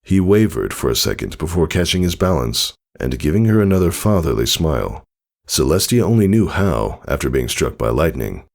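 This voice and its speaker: A man's voice: a bass voice, very animated, moderate pace. A man narrating a scripted story in a dramatic reading style. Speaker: fan fiction narrator.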